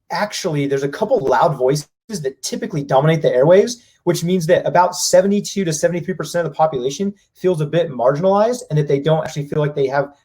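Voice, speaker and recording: Brief: husky male exec, rapid energetic delivery, voices people's reactions. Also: a little high. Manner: fast, energetic, acts out what people say. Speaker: company president. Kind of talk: business live-stream discussion.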